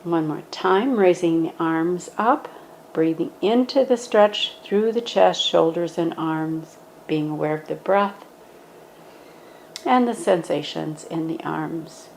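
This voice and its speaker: Slow, calm woman guiding yoga. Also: lowish voice, creaky, slight background noise, poor audio. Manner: calm, gentle, instructive. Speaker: meditation and yoga guide. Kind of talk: guided yoga meditation.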